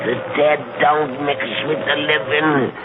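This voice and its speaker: An actor playing a dying, grimly accusing sailor with halting, dramatic delivery in a 1970s radio drama. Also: male voice, a little high, flat delivery, phone-quality sound, lightly acted. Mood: dying, bitter. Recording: thin, noisy old radio recording.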